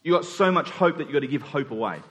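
A man preaching a sermon in an earnest, emphatic way, repeating phrases and pausing deliberately. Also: on the high side, expressive, a bit bright. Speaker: pastor preaching to his congregation. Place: church hall during a service. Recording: reverberant hall sound.

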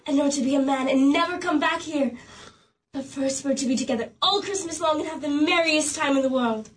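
A woman plays an eager young girl in a radio play, the hero's little sister, bubbling over as she pleads with him. Her voice is very high. It is picked up from a distance with a faint hiss. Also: brisk, close to an everyday voice. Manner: excited, pleading.

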